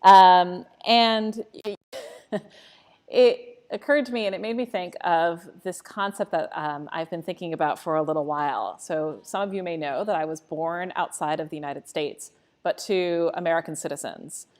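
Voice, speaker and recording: Reflective woman from Costa Rica, slightly low voice, earnest answers in a live church Q&A. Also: normal speed, darkish tone. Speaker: church staff member. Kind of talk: live unscripted Q&A conversation.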